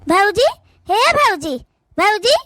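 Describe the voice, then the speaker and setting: A very high, closely recorded voice with an Awadhi accent opens up this meddling young sister-in-law played by a woman. She is a gossipy cartoon schemer who presses her point insistently to stir up family quarrels. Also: very animated, slow, bright, forceful tone, dry recording, studio quality, exaggerated voice. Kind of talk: village cartoon dialogue.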